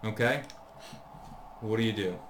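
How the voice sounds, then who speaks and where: A man running a tabletop game as dungeon master, narrating scenes in a halting way, with lots of pauses and "um"s. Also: on the low side, echoey room, slight background noise. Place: law firm conference room.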